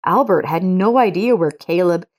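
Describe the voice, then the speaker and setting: A woman's voice: fairly low pitch, moderate pace, darkish tone. A woman reading a scripted story as its narrator.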